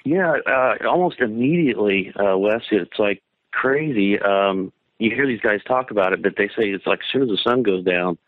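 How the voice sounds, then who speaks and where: Excited guy from kansas calling in, lowish voice, tinny phone sound. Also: moderate pace, no echo. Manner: enthusiastic, says man a lot. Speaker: guest. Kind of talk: phone-in interview.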